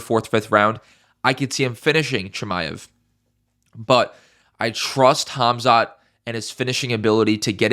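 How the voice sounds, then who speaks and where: A young man talks through upcoming fights in a loose, emphatic way, repeating his strongest points and cursing casually. His voice is congested and stuffy. The tone is bright and forward, at a middling pitch. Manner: emphatic, repeats key phrases, swears casually. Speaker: MMA YouTuber.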